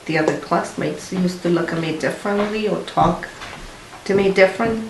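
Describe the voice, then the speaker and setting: A woman in her thirties, interviewed, speaking slowly and deliberately. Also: lowish voice, gravelly. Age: 30s. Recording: echoey room with light noise.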